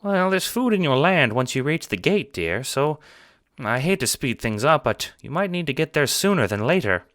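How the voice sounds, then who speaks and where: A man voicing a doting, jovial but easily flustered elderly grandmother in an audio drama, with a gentle, fussy manner. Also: fairly high pitch, big pitch swings, moderate pace, no echo, clean studio sound, voice pushed up. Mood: gently apologetic.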